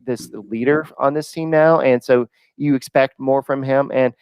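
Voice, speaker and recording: A man's voice: medium pitch, steady tone, normal speed, smooth, no room sound, highs cut off. A man co-hosting a casual sports podcast, speaking hesitantly with frequent fillers. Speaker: podcast co-host.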